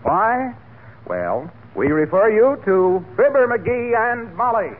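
A man's voice: sits high, big pitch swings, takes it slowly. A man working as a 1940s radio announcer, reading scripted sponsor copy in a polished, declamatory salesman's manner. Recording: tinny, noisy vintage 1940s radio broadcast.

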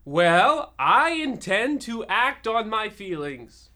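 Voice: mid-range voice, very animated, takes it slowly, bright, forceful tone, full character voice. An actor playing a haggard, cranky old witch with a theatrical, vengeful manner in a comic radio play. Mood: angry, indignant.

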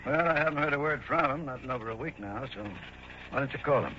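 A man's voice: medium pitch, fast, lightly acted. A male actor playing a plain-spoken, world-weary police sergeant in a 1960s radio drama. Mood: advising. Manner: emphatic, plain-spoken, slangy. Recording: dull old radio recording, clear hiss.

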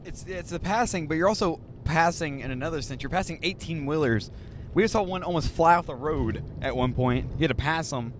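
A man's voice: on the high side, fairly quick. Male podcast host, rambling and jokey, handheld mic in a moving car, faint road hum. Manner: joking, rambling. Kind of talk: casual chat. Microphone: handheld mic passed around.

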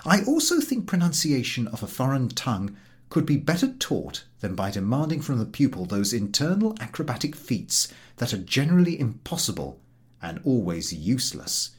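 A man reading a comic first-person literary memoir as an audiobook narrator, in a theatrical, animated way. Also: medium pitch, moderate pace.

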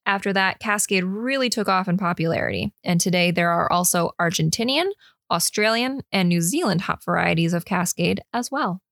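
A woman's voice: fairly low pitch, expressive, normal speed, gravelly, a bit bright, dry recording, clean studio sound. A woman co-hosting a casual beer podcast, reading out her research notes with easy asides.

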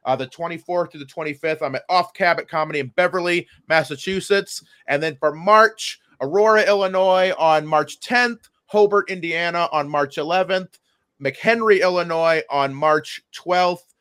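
A man who works as a comedian, co-hosting a podcast with casual, crude joking and a stammering, sometimes loud delivery. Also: on the high side, moderate pace, smooth, no room sound, clean studio sound. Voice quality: loud, given to yelling. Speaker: stand-up comedian.

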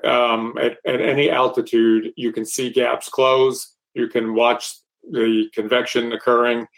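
A man's voice: mid-range voice, flat delivery, vocal fry, a bit bright, poor audio. A man with a Texas accent co-hosting an aviation talk show, speaking casually with frequent fillers and restarts. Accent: Texan. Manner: halting, many fillers and restarts. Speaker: podcast co-host.

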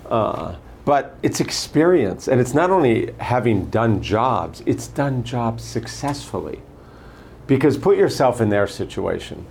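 An older man running for prosecutor, answering interview questions in a measured way and telling stories from his career. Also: medium pitch, big pitch swings, vocal fry, darkish tone, a little hiss or hum. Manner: measured, anecdotal, lists names and past posts. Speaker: candidate for prosecutor.

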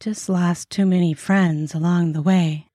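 A man's voice: a little high, slow, mellow, dry recording, studio quality, pitched above the performer's own voice. A man voicing a bawdy, sly frontier barkeep woman with a Minnesota accent and a teasing, drawling delivery. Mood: wistful. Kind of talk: audiobook character voice.

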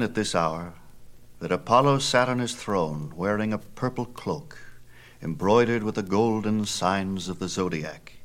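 A man reading a scripted mythology story aloud in a dramatic, measured manner. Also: low-pitched.

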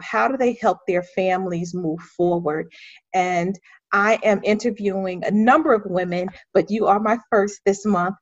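A woman's voice: medium pitch, no echo, lo-fi sound. A woman hosting an interview podcast, speaking with emphatic, faith-filled enthusiasm. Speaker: podcast host.

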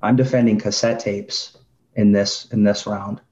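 A middle-aged man co-hosting a podcast, debating in casual, slangy, animated storytelling style. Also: fairly low pitch, a little slow. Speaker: podcast co-host. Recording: roomy, dull lo-fi sound.

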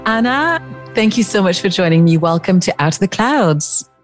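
A woman's voice: medium pitch, expressive, moderate pace, soft background music. A woman hosting an interview podcast, introducing her guest and talking with her.

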